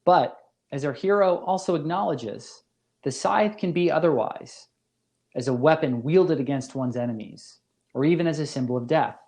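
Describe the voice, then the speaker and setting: A man delivering a scripted academic lecture as a professor, in a measured, deliberate and formal manner. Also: fairly high pitch, darkish tone.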